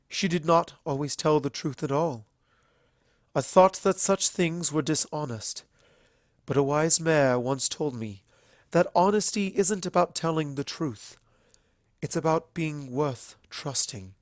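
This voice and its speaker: A man voicing a kind, earnest female alicorn pony princess in a storybook reading, speaking in a solemn, measured way. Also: on the high side, dry recording, studio quality, pitched above the performer's own voice, natural voice. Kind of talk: fan fiction storybook reading.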